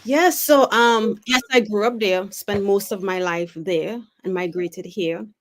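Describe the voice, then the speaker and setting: A woman guest from Trinidad and Tobago talking in a casual online interview. She explains things in a friendly, easy way at a mid-range pitch, linking her thoughts with "so" and "um". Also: measured pace, a little hiss or hum.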